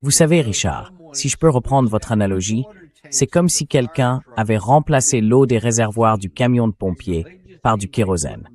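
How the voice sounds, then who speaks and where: A man's voice: mid-range voice, expressive, moderate pace, darkish tone. A man hosting a dubbed interview in a conversational way. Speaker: interview host.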